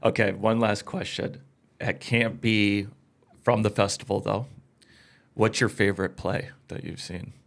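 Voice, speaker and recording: Male podcast host, lowish gravelly voice, informal and enthusiastic in conversation. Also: level tone, a little slow. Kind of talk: casual interview chat.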